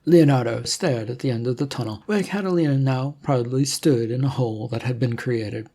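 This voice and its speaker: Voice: mid-range voice, moderate pace, dark tone, slightly rough. A narrator reading a scripted adventure story in the manner of an audiobook.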